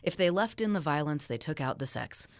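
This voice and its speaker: A woman's voice: low voice, expressive, brisk, no echo. Wry woman explaining, dry close sound. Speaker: expert guest, folklore academic. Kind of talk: informal explanatory interview talk.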